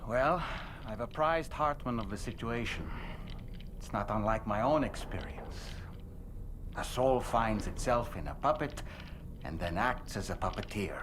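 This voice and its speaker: An actor playing an erudite, courtly widower whose soul lives in a doll, telling things in a measured, formal, wry way in a video game cutscene. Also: male voice, lowish voice, animated, background noise. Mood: wry, courteous. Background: music.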